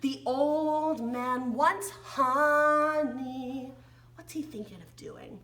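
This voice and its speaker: A woman's voice: sits high, extremely slow, fairly bright tone. An American woman leading an interactive read-along and sing-along for young children, with playful, exaggerated delivery, gasps and questions.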